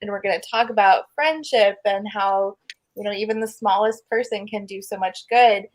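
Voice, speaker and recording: Woman, middle-pitched voice, earnest, opinionated, informal group discussion. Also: moderate pace, poor audio. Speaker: guest panelist. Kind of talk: casual book discussion.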